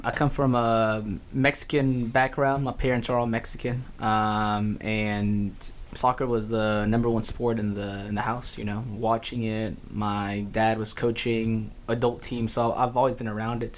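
A man's voice: mid-range voice, slight background noise. A young man from Houston giving casual, somewhat hesitant answers as an interview guest. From Houston, Texas. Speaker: youth soccer coach, podcast guest.

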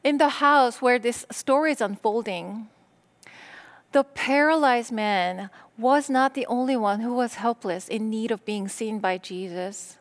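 A middle-aged woman pastor from Korea, whose first language is Korean, preaching a sermon in slow, deliberate phrases with pauses. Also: a little high.

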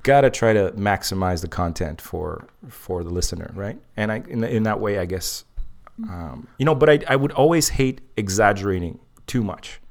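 A man's voice: lowish voice, lively intonation, moderate pace. A man chatting casually and comedically as a podcast host, in a rambling, self-deprecating way.

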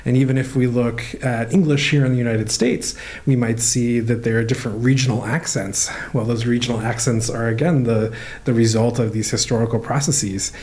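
A man speaking as a university professor, answering interview questions in a relaxed, thoughtful way with frequent pauses and fillers. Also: medium pitch. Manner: thoughtful, many "um" and "uh" while explaining.

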